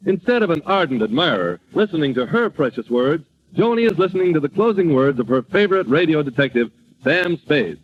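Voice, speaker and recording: A man's voice: on the high side, big pitch swings, fairly quick. A man as a polished, wry announcer narrating old-time radio comedy, on a muffled, noisy vintage recording.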